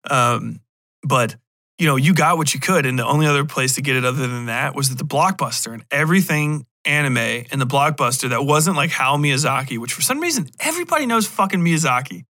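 A man's voice: medium pitch, expressive, fairly quick, pushed and bright. An American man in his thirties rambling casually on a podcast, slangy, profane and opinionated. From Cincinnati, USA. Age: 30s. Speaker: podcast host.

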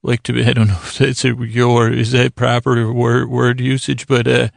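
Super creaky guy doing a sleep podcast, really dry close sound, keeps repeating himself and wandering off, kinda self-deprecating. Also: mid-range voice, monotone, no echo. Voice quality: gravelly, creaky. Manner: meandering, halting, often repeating words and trailing into tangents; gently silly and self-deprecating. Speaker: podcast host. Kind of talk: rambling bedtime monologue to help listeners sleep.

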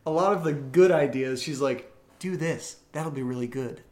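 Male co-host, medium pitch, informal Q&A delivery in short remarks. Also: normal speed, darkish tone. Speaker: audio drama writer, co-host reading listener questions. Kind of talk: casual Q&A chat.

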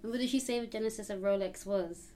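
Young woman from Uganda, casual chatter full of fillers, medium pitch. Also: fast talker, mellow, slight background noise. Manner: chatty, lots of likes and ums. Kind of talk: casual chat.

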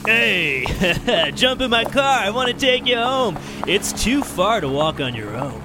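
A man playing a pushy, creepy guy coaxing a young woman into his car in a comic audio drama, wheedling with drawn-out words and hammy chuckles. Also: high-pitched, very animated, over music, pitched above the performer's own voice. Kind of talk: comic audio drama made from song lyrics.

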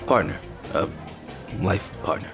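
A man's voice: a little slow, over music, natural voice. An actor playing a mild, reassuring male partner with a slightly hesitant manner in a naturalistic audio drama. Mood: polite. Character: gentle, supportive male partner, bashful aspiring writer.

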